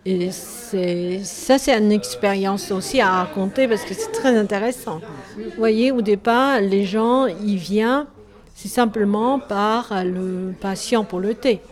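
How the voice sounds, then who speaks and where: A woman from China speaking French as a second language with a Chinese accent, answering interview questions in a halting way and often correcting herself. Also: medium pitch, darkish tone. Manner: halting, searching for words, self-correcting. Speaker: tea shop owner.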